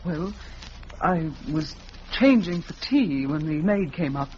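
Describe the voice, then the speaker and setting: A young widow, genteel and reluctant, with a subdued, hesitant delivery. The style is 1940s radio drama. The recording is dull with faint background noise. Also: female voice, sits low, expressive, slow pace, a little mellow. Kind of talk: 1940s radio mystery acting.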